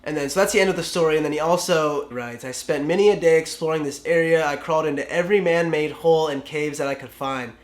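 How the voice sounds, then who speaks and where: A man's voice: fairly high pitch, quick pace, few pauses, roomy sound. A young man with a stuffy, congested voice, chatting casually as a vlog host and reading a story aloud. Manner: laid-back, chatty, frequent fillers. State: sick and congested.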